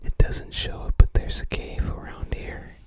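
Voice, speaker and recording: An ASMR host speaking entirely in a breathy whisper, gently musing aloud in unscripted commentary with trailing phrases. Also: a little slow. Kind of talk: ASMR, unscripted commentary while browsing a game guide book.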